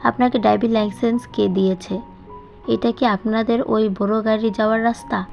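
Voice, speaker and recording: A woman voicing a hot-tempered, sharp-tongued young woman in an audio story, scolding and firing off questions. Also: on the high side, fairly even intonation, moderate pace, gravelly, soft background music. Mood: angry scolding.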